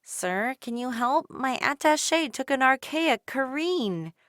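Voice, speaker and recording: A woman voicing an eager young girl who loves big words, talking in an excited, pleading way. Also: high voice, slow pace, no echo, clean studio sound, voice pushed up, full character voice.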